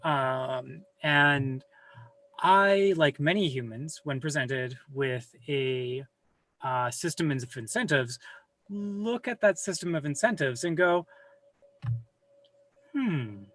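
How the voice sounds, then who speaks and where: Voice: mid-range voice, takes it slowly, pauses often, a bit bright. A guest on a video call chatting casually, rambling and self-deprecating, with casual swearing, recorded at home. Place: home room with a server rack.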